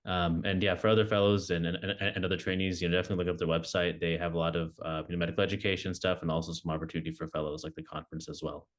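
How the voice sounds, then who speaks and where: A young man with a low voice asks questions at a quick clip. He hedges often and circles back on his words before landing on the point. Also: flat delivery. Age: young adult. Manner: quick, hedging, many fillers and restarts. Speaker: interviewer, oncology fellow. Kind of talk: recorded video interview.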